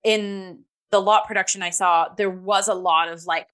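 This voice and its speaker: A woman's voice: mid-range voice, steady tone, moderate pace, fairly bright tone, clear, resonant tone. A woman co-hosting a casual review talk, chatty and informal, with plenty of "like" as she speaks. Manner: chatty, informal, often saying "like". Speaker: co-host reviewing theatre on a talk show.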